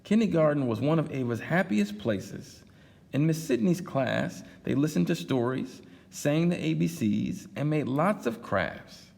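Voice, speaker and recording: A man reading a picture book aloud at a library storytime, pausing for chatty asides to his listeners. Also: on the high side, expressive, gravelly, darkish tone, in a large hall. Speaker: storytime reader.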